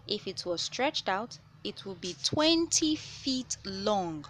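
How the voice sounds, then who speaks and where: Slow-paced woman reading to children. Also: mid-range voice, sing-song, a bit bright. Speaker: children's read-aloud host. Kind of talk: reading a children's science picture book aloud. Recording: noticeable background noise.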